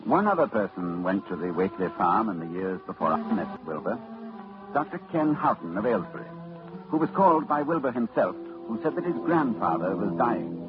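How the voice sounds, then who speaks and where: Grave old-radio narrator, very expressive intonation, music underneath, muffled vintage sound. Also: male voice, fairly low pitch, brisk. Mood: solemn. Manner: grave, dramatic storytelling. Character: learned librarian narrator, grave, middle-aged man. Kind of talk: 1940s radio acting.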